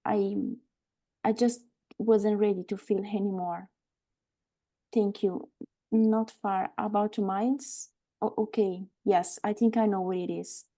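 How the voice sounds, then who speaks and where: An actress playing an earnest, frank but uneasy warehouse worker in a live screenplay table read. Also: female voice, mid-range voice, steady tone, a little slow, dark tone, natural voice. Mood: uneasy, respectful.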